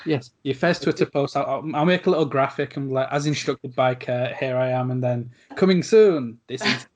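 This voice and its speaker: A man's voice: mid-range voice, moderate pace. A man hosting a casual podcast interview, chatty and jokey, with repeated "like".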